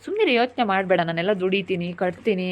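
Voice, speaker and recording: A woman's voice: mid-range voice, expressive, quick pace, highs cut off, lightly acted. A woman playing a caring, reassuring husband and brother-in-law in an amateur family drama, speaking in a soothing, coaxing, colloquial way.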